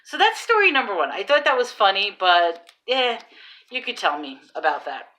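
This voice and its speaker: Woman, New York Long Island accent, bright forceful voice, animated storyteller, phone at arm's length. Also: medium pitch, normal speed. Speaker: vlogger and online seller. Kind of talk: casual storytelling vlog. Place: small indoor room.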